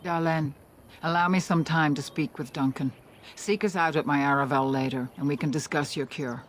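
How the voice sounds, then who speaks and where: An actress playing a composed, grave elven clan leader in a fantasy video game, speaking in a measured, formal, authoritative way. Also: female voice, sits low, steady tone, a little hiss or hum, lightly acted.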